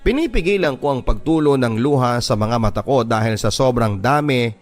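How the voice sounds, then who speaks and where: Casting: male narrator for scripted radio drama, mid-pitched, first-person dramatic letter reading over a music bed. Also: lively intonation, moderate pace.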